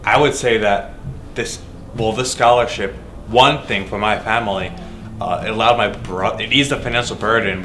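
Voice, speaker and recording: A young man in his late teens, a scholarship student speaking carefully and correcting himself. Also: fairly low pitch. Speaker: prep school scholarship student. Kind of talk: testimonial interview. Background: background music.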